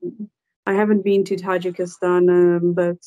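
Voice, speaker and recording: A woman's voice: fairly low pitch, flat delivery, normal speed, dark tone, poor audio. A young woman hosting a podcast, speaking in a conversational, tentative way and often qualifying what she says. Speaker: podcast host. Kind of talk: casual conversational interview. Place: indoor room, video call.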